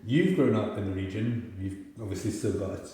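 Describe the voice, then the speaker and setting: A man with a fairly low voice casually interviews guests as a podcast host. The room around him is extremely echoey, with long reverb on his words. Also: brisk, mellow.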